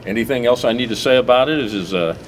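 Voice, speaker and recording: A man's voice: mid-range voice, fast, slight background noise. A middle-aged man hosting a local TV talk show in an easygoing way, with lots of fillers and restarts. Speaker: host. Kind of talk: casual local TV chat.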